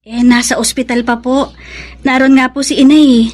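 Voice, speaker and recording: A woman's voice: high voice, little pitch movement, moderate pace, darkish tone. A Filipino woman acting a polite, dutiful young daughter in a radio drama, speaking respectfully. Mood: polite, subdued.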